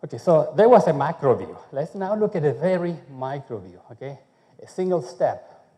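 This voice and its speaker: A man's voice: fairly high pitch, very animated, moderate pace, warm tone, big-room sound. A man from Chile, a food researcher giving a conference talk, speaking conversationally and often checking in with "okay?". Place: conference hall.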